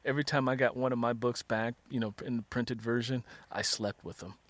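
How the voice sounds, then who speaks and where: A man's voice: mid-range voice, fairly even intonation, a little fast, fairly bright tone, dry recording. A man hosting a conversational book interview as a fellow author, speaking in an effusive, encouraging way.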